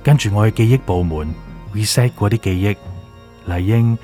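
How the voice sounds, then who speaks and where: An actor playing a gloating, manipulative villain in an audio drama, with a Hong Kong Cantonese accent and taunting, drawn-out delivery. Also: male voice, fairly low pitch, expressive, mellow, soft background music, natural voice. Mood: coaxing, sinister. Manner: theatrical, taunting, drawn-out emphasis, drops in English words. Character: gloating, manipulative villainous male official, mocking.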